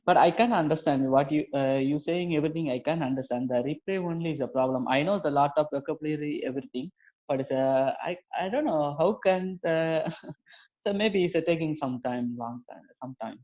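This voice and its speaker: A man from India with a Tamil accent and Tamil as his native language, speaking halting, hesitant learner English and politely saying "ma'am" often. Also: on the high side, lively intonation. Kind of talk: casual conversation practice. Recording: dull lo-fi call audio.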